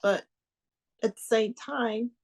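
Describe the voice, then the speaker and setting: A woman performs a nervous young bride-to-be, engaged but full of doubt. She talks in a slightly high voice, rambling and hesitant, confiding her worries in a live stage monologue. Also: slow, gravelly, full character voice. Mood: troubled.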